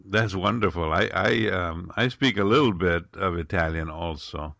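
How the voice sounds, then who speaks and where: Bright, forceful male interview host. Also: low voice, normal speed, creaky, no echo, muffled recording. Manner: upbeat, asks questions with hesitations. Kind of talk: hosting an interview.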